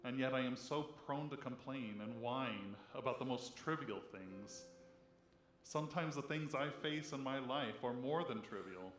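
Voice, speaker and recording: A man giving a solemn devotional reading in a measured, reflective manner. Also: mid-range voice, fairly bright tone. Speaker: church reader. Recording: echoey room. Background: music.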